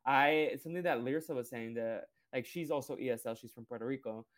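A man in his twenties, a podcast host whose first language is Portuguese, chatting casually with relaxed, rambling talk full of fillers. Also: medium pitch, brisk. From Brazil. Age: 20s. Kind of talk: casual interview chat.

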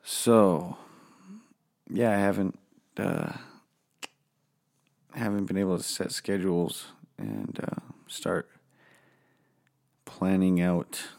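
A man hosting a casual solo podcast from his home office, rambling and swearing freely, with a hoarse voice. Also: deep voice, animated, slow pace, long pauses, a little mellow. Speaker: podcast host.

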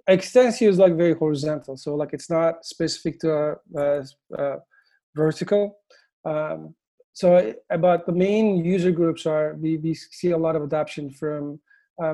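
A man's voice: on the high side, fairly even intonation, a little slow, dark tone. A man from Turkey with a Turkish accent, a company founder answering interview questions with many fillers and restarts. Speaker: agency founder, guest.

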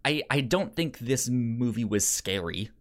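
A man's voice: mid-range voice, expressive, unhurried. A man co-hosting a casual film podcast, stuttering and often repeating sounds and syllables as he talks. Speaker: podcast co-host.

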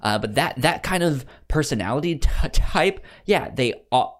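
A man chats casually as a podcast co-host. His pitch moves around in a lively way over a middling register. He speaks haltingly, often repeating and tripping over words. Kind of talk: casual film discussion chat.

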